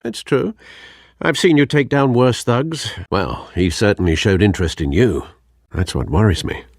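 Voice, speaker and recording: An elderly English butler in a video game, lowish in pitch with lively, swooping intonation. He is formal and dryly sardonic, yet caring toward his master. The recording is close and dry. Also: moderate pace, no echo. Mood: fatherly worry. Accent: British. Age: older.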